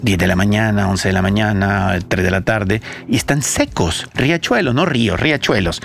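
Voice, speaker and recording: A man's voice: on the low side, normal speed, gravelly. A man from El Salvador with a Salvadoran accent, hosting a casual talk show in an emphatic way, repeating phrases for stress. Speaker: host. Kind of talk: casual radio-style talk with co-hosts. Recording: music playing behind the voice. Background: background music.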